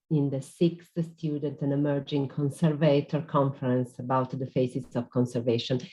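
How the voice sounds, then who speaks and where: A woman giving a formal, polite conference talk over a video call. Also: low voice. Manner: careful, polite, measured delivery. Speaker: conference speaker. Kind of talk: formal online presentation. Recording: dull lo-fi video call audio.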